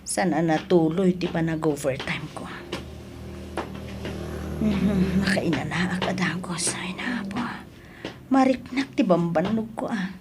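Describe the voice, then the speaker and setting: A woman playing a hardworking, exasperated girlfriend in a Filipino audio drama, with expressive delivery. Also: fairly low pitch, measured pace, long pauses, very noisy, natural voice. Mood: weary.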